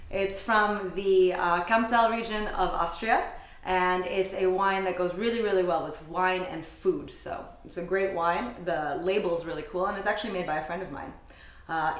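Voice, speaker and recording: A young adult woman from the United States, a guest chatting with enthusiasm in a casual interview. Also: lowish voice. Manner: upbeat, enthusiastic. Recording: echoey room.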